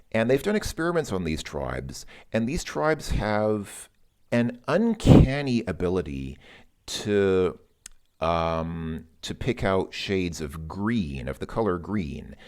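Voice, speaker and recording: Deep-voiced man musing aloud. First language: English. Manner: musing, loose, repeats words while thinking. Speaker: language learner vlogger. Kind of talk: casual spoken monologue.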